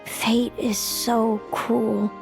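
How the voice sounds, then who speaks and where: A woman playing a solemn, fatalistic young female seer in a video game, speaking in a grave, measured, formal way. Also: fairly high pitch, little pitch movement, vocal fry, exaggerated voice. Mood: resigned. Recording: faint game music behind the voice.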